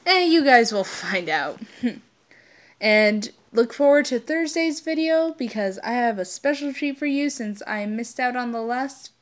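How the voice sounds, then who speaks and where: A woman's voice: on the high side, expressive, unhurried. A woman with an informal, unpolished delivery who stumbles now and then, reading stories aloud and chatting to her listeners as an amateur channel host. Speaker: amateur fan fiction reader and channel host.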